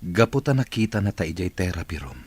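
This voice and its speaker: Weary but hopeful employer, very low voice, husky, Ilocano accent, radio drama, dry studio. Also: male voice, very animated, quick pace, dry recording, full character voice. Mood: grave. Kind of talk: Filipino radio drama acting.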